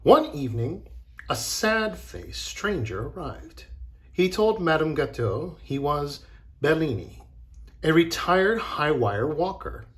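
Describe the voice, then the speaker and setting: An encouraging, expressive man teaching a children's drama lesson and narrating a storybook. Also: on the high side, slow pace.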